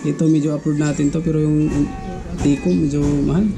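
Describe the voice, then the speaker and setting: A man gives a casual hands-on tutorial in a slightly high, gravelly voice. He speaks in a fairly even tone, with music playing behind him. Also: fairly quick. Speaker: vlogger giving a DIY tutorial.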